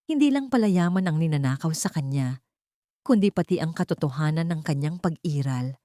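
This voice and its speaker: A woman's voice: low-pitched, lively intonation, fairly quick, dark tone, no room sound, studio quality. A woman narrating a scripted audio story in a dramatic style.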